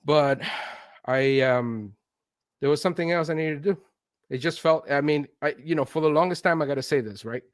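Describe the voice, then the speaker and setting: A man's voice: on the high side, moderate pace, no echo. Man telling his story, dry close sound. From Venezuela. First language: Spanish. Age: late 40s. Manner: animated self-quoting, often checks in with "right?". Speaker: interview guest, consultant in instructional design. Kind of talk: conversational personal storytelling.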